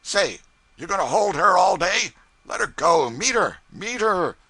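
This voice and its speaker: A man's voice: a little high, sing-song, moderate pace, creaky, husky, no room sound, pitched above the performer's own voice, full character voice. A man voicing a bullying, irritable older riverboat pilot who barks and sneers. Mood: shouting, furious. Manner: barking, shouting, sneering.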